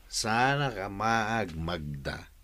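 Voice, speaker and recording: A man's voice: fairly low pitch, big pitch swings, very slow, pushed and bright, exaggerated voice. An actor playing a confrontational man who scolds and taunts a woman in a radio drama. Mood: taunting.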